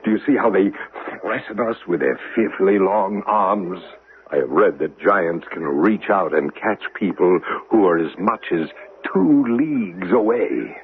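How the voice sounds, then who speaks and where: An actor playing a grandiose, deluded old knight in a 1970s radio drama, pompous and declamatory. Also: male voice, sits low, measured pace, slightly rough, exaggerated voice. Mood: awed, grave. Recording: muffled old radio recording.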